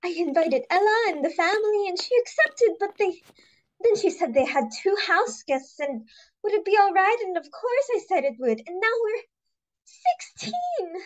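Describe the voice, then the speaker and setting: A woman's voice: very high-pitched, very animated, moderate pace, low-quality recording. A woman playing an anxious, bungling young society daughter in an amateur reading of a stage comedy, exclamatory and apologetic. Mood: distressed, defensive.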